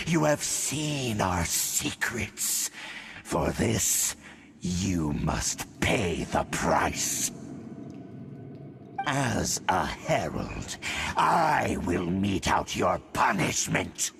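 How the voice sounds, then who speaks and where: A man voicing a menacing, haughty monstrous herald in a video game, with gravelly, pompous, threatening pronouncements. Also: deep voice, huge pitch swings, drawn-out words, fairly bright tone, slight background noise, heavily acted. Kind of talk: video game villain acting.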